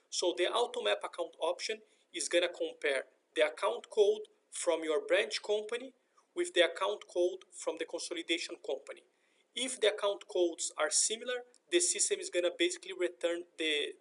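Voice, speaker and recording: A man narrating a step-by-step software screen tutorial in a methodical, explanatory manner. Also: on the high side, creaky. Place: voice-over for a screen recording.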